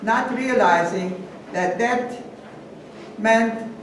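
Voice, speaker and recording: An older woman from Hungary with a Hungarian accent, giving a measured first-person testimony talk to students and pausing to restart phrases. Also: on the high side. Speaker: guest speaker. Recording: echoey hall, distant mic, faint hiss.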